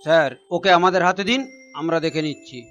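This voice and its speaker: A man voicing a brisk cartoon official who takes charge and explains. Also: a little high, a bit bright, soft background music, close to an everyday voice. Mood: matter-of-fact. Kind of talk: cartoon voice acting.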